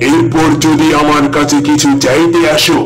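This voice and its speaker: A man's voice: a little high, little pitch movement, normal speed, close to an everyday voice. A man voicing a talking magic fish in a fairy-tale cartoon, pleading and ominous, bargaining with solemn warnings. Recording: prominent music bed behind voice.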